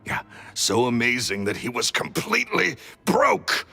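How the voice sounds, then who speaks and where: A resentful apprentice magician who became a thief in a video game. His voice is gravelly, husky and bright-edged. He swings from low brooding to sharp outbursts, with faint music underneath. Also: sing-song, a little slow, full character voice. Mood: bitter. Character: bitter male magician's apprentice turned thief.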